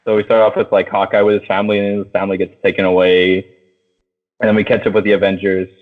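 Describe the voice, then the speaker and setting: A man hosting a casual film chat, talking in slangy, profane language and steering the discussion like an excited fan. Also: lowish voice, quick pace. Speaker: podcast host. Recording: tinny phone-like sound.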